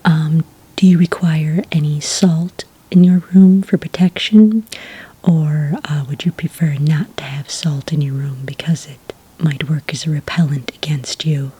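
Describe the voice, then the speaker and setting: A woman playing a friendly, obliging witch desk clerk in a soft-spoken ASMR roleplay, whispering breathily in polite, hesitant customer-service patter. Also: sits low, steady tone, unhurried, breathy edge, full character voice, low-quality recording. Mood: calmly helpful.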